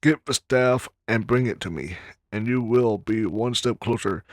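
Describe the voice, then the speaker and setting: A man's voice: mid-range voice, a little slow, no echo, studio quality. A man playing through a video game, reading its dialogue and letters aloud. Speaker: gamer recording a playthrough.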